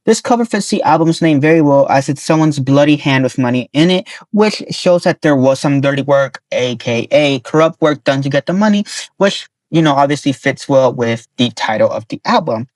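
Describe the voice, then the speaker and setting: A man with a hoarse, worn voice reviewing albums from notes in a casual way, often correcting his own slips. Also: medium pitch, expressive, no echo. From Nevada, US. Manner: reads quick notes, corrects himself, chuckles at slips.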